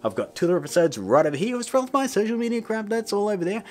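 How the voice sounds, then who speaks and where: A man's voice: high voice, big pitch swings, racing, darkish tone. A man in his thirties presenting a tutorial in a chummy, informal, upbeat way, talking to viewers as guys. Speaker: tutorial host.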